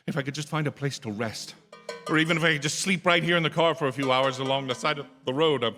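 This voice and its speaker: An actor playing a desperate young male motorist unravelling with dread, in halting, theatrical radio suspense style. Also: a little high, bright, forceful tone. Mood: haunted, despairing. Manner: intense, halting, stammering. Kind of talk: theatrical radio suspense drama acting and narration. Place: theatre stage. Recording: live theatre stage, long hall reverb, music.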